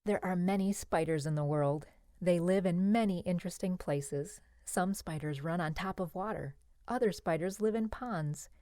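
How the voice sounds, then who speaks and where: Female children's nonfiction narrator, lower register, simple clearly phrased read-aloud, dry close sound. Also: moderate pace, dark tone, dry recording. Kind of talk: scripted educational narration for children.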